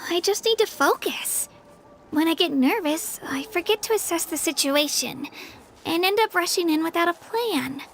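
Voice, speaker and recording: Tiny earnest girl hero from a game, super high bright voice, eager. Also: lively intonation, normal speed, gravelly, a little hiss or hum, exaggerated voice. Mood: earnest, determined. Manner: earnest, eager, slightly unsure. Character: small earnest young girl warrior, eager and humble. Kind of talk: video game voice acting.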